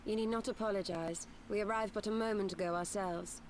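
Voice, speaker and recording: A woman's voice: mid-range voice, steady tone, fairly quick, slight background noise, lightly acted. A woman playing a composed, courteous noblewoman in a fantasy video game, with formal, gracious, old-fashioned phrasing.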